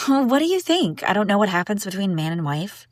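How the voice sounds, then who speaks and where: A woman playing a sharp-tongued young princess bride who is secretly lovesick, in an intimate audio roleplay, teasing and indignant with sighs and broken-off phrases. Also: mid-range voice, big pitch swings, a little fast, no room sound, studio quality, close to an everyday voice. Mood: indignant, proud. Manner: teasing, indignant, sighing, breaking off mid-sentence. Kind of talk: intimate scripted audio roleplay addressed to listener.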